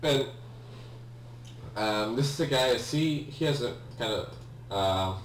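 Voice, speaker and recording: A man's voice: mid-range voice, lively intonation, slow pace. A young man with a Polish accent, a native Polish speaker, giving hesitant casual commentary on a game he is playing. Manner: hesitant, many ums and restarts, apologetic. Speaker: let's play gamer. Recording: echoey room, distant mic, faint hum.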